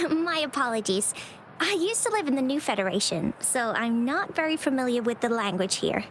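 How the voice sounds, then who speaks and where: A woman's voice: high voice, sing-song, moderate pace, a little hiss or hum. A woman voicing a sweet, polite, slightly awkward young girl in a game, with overly formal courtesy. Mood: polite, eager. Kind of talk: scripted game dialogue.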